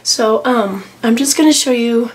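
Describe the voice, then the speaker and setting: A middle-aged woman with a hoarse voice from a cold, chatting casually as she walks through her journal pages, with lots of ums and restarts. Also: fairly high pitch, a little slow, slight background noise, rough recording. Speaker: journaling vlogger. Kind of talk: casual tutorial walkthrough. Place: home desk, camera over a tablet.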